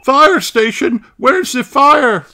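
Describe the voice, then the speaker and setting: A man voicing an excitable, bossy female rabbit firefighter from a children's storybook, with emphatic, stretched exclamations. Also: very high-pitched, takes it slowly, music in the background, voice pushed up, heavily acted. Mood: panicked.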